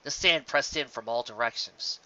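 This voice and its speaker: A narrator reading a scripted story aloud with an expressive, dramatic storytelling delivery. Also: mid-range voice, moderate pace, highs cut off. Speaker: audiobook narrator.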